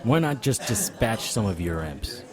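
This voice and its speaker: Tired bitter detective in a noir radio play, lowish voice, dry wisecracks, crowd noise behind him. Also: male voice, big pitch swings, unhurried. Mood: wry, suspicious. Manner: dry, wisecracking, world-weary. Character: weary, sardonic occult investigator, bitter and tough. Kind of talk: noir audio drama acting with first-person narration. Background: crowd chatter.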